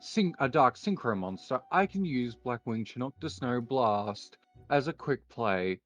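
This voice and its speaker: Casting: man, mid-range voice, deliberate and mock-formal duelist musing over moves, music underneath. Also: medium pitch, animated, highs cut off. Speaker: player in a card duel roleplay. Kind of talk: improvised anime card-duel roleplay, calling out moves.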